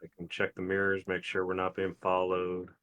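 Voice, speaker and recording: A man's voice: sits low, completely flat delivery, moderate pace, fairly bright tone, highs cut off. A man speaking casually as a player in a tabletop role-playing game.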